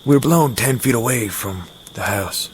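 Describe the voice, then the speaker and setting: A man's voice: medium pitch, normal speed, a little hiss or hum, close to an everyday voice. A man playing a disaster survivor recounting loss, telling the story dramatically in an audio drama. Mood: grave.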